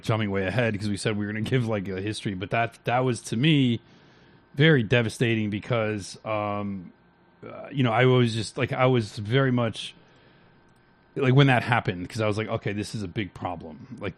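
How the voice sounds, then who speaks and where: Podcast host, male, lowish voice, rambling laid-back delivery. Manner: loose and rambling, lots of "like" and "you know". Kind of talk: casual podcast chat.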